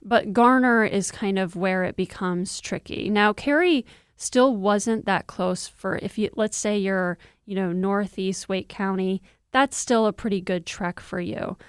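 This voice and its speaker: A woman's voice: medium pitch, measured pace, clear, resonant tone, no room sound, studio quality. A woman reporter explaining things step by step in an informal, conversational news discussion. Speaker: news reporter, interview guest.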